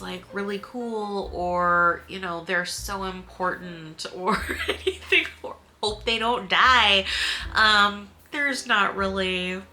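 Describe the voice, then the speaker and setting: A woman talking casually in a book review vlog, informal and a bit halting, often correcting herself and swearing mildly. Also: medium pitch, bright, forceful tone, slight background noise. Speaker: book reviewer and video host.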